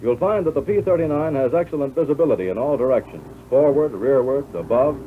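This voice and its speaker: An American man reading scripted instructional narration for a 1940s military training film in a measured, instructive way. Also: medium pitch, lively intonation. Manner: measured, instructive, addressing the listener as pilot. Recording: tinny band-limited 1940s film soundtrack, loud noise.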